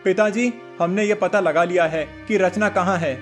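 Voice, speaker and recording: A man's voice: high voice, steady tone, normal speed, soft background music, close to an everyday voice. A man voicing an earnest young man of the palace in a cartoon story, emphatic and questioning. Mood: earnest, concerned. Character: suspicious palace guard or young prince, earnest.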